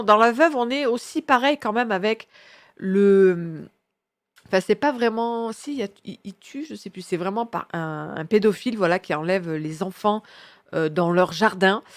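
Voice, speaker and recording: A woman's voice: mid-range voice, moderate pace. A woman with a head cold gives casual, enthusiastic book recommendations in a slangy, rambling way, often correcting herself. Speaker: book reviewer presenting her reading picks.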